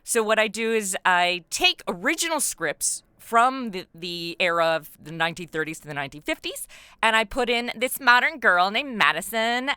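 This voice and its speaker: Woman, forceful bright tone, crisp, close dry sound, enthusiastic guest, casual chat. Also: medium pitch, no echo. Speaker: podcast guest, audio drama writer and actor.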